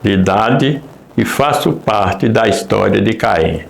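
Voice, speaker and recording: A man, slowly recalling his past in a documentary interview. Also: on the low side, animated, gravelly. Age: 80s. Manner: unhurried, halting recollection. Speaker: former mayor interviewee. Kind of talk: documentary testimony, reminiscing. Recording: echoey room with faint ambience.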